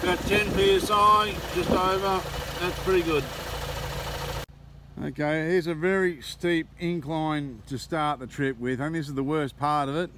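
A man's voice: a little high, steady tone, measured pace, poor audio. A middle-aged Australian man narrating a casual vlog into a phone outdoors, chatty and cheerful. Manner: chatty, cheerful, cries of yeehaw. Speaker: vlogger. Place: outdoors on a windy beach and in a car. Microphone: phone held at arm's length. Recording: loud wind noise on the phone mic.

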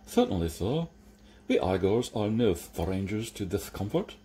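A man voicing a calm, deferential, stitched-together manservant who answers dryly and patiently. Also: fairly low pitch, measured pace, a little hiss or hum. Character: unflappable, deferential stitched-together male servant. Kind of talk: audiobook character reading.

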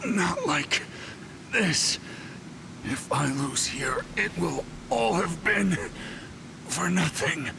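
An actor playing an anguished, obsessive villain in a video game, with a strained, gravelly voice and halting delivery. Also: male voice, a little high, lively intonation, noisy background, full character voice. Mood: despairing. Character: anguished, obsessive male villain who refuses to stop. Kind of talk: dramatic video game acting.

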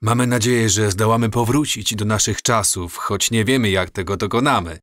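A man playing an earnest time traveler in an audio drama, speaking gravely and in a measured, explanatory way. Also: on the low side, steady tone, a bit bright, dry recording, studio quality, natural voice. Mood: grave, urgent. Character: earnest married time traveler warning of coming catastrophe.

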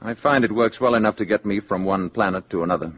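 An actor playing a calm, courteous alien visitor passing as an ordinary man, in measured, formal 1950s radio drama style. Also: fairly low pitch, natural voice. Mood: composed, assured. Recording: dull, noisy 1950s radio recording, reverberant.

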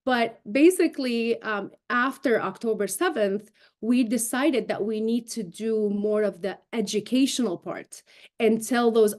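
A woman's voice: a little high, darkish tone. Articulate Syrian-raised woman, native Arabic speaker. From Syria, raised in Damascus. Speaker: interview guest, broadcast journalist and show host. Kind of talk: remote news interview, explanatory talk. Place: indoor room, video call. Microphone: video call.

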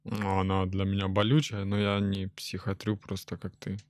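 A young man, a fitness coach and nutritionist, answering podcast questions in a short, laconic way with dry deadpan humor. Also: low voice, moderate pace, no echo. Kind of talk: casual podcast interview.

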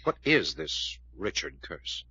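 Sensible male adventurer, very rough low voice, animated, firm, dull vintage radio sound. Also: slow, no room sound, exaggerated voice. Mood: calm, probing. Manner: firm, earnest, questioning. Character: level-headed, reassuring male adventurer, probing and sensible. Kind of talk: old-time radio drama acting.

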